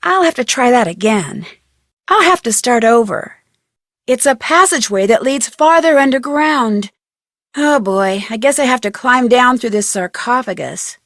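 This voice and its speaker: A young American woman playing an inquisitive, plucky amateur detective in an adventure game, speaking earnestly and expressively with clear enunciation. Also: fairly high pitch, lively intonation, unhurried, a bit bright, dry recording, studio quality. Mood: determined, musing, then excited, startled. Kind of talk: scripted adventure game voice acting.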